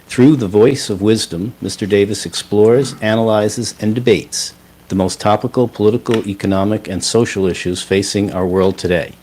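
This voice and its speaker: A man reading a scripted show introduction in a measured announcer manner. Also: lowish voice, fairly even intonation, a little mellow, a little hiss or hum. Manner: smooth, measured announcer read.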